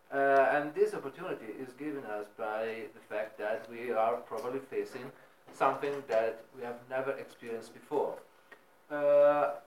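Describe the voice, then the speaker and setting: A man speaking as a panelist, emphatic and full of "uh" fillers. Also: medium pitch. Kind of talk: panel remarks. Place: lecture room. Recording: echoey room, faint noise.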